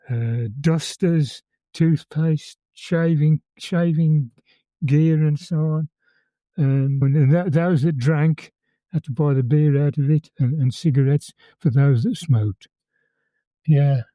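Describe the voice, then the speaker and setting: An older man in his eighties with a Birmingham accent speaks with a deeply mellow, warm tone, pitched a little high for a man. He tells his memories at a measured pace, often doubling words as he goes, and the sound is close and dry. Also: dry recording, muffled recording. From England. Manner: measured storytelling, repeats words while recalling. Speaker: interview guest, former conscript. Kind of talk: reminiscing in conversation.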